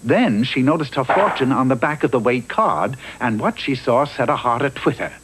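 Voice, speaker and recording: A man with a medium-pitched voice narrates in an arch storybook manner. He is theatrical and mock-earnest, on an old TV soundtrack with faint noise. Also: animated, moderate pace, a bit bright, rough recording.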